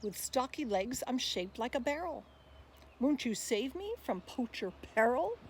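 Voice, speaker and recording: Woman voicing a lively high-pitched storybook tapir. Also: measured pace, slight background noise, heavily acted. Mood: earnest, pleading. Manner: emphatic, singsong rhyming delivery. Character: funny-looking stocky tapir, friendly but protective, pleading. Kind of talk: rhyming picture-book read-aloud.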